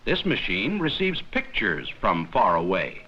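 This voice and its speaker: A man reading scripted 1950s educational film narration in a measured, explanatory manner with simple, plain sentences. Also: on the low side, huge pitch swings, pushed and bright, dull audio. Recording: worn, noisy 1950s 16mm film soundtrack.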